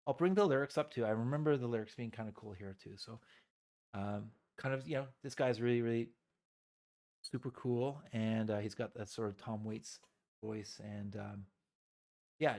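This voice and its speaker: A man's voice: lowish voice, darkish tone. Stuffy man rambling through a cold. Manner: rambling, self-deprecating, many fillers. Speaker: co-host. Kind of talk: casual live-stream chat.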